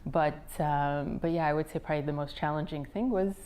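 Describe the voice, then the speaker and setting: American woman in her 40s, deep-ish voice, measured interview answers with lots of ums. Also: dark tone, a little hiss or hum. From United States. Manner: measured, thoughtful, frequent ums. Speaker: interview guest, physician and company founder.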